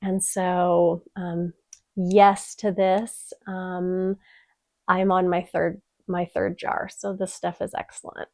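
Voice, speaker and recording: A woman's voice: fairly low pitch, level tone, slow, dry recording, clean studio sound. A young woman vlogger with a stuffed-up, nasal voice from a cold, chatting casually through a product review with many "um"s. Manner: chatty, frequent "um" fillers, soft-spoken. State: congested, stuffed-up voice. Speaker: beauty vlogger.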